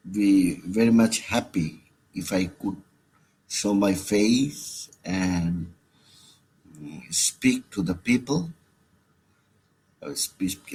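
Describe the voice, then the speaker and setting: A man with a Bangladeshi accent leaving a personal voicemail message, with halting, hesitant phrasing. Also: on the low side, breathy edge. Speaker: caller. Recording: noisy voicemail recording.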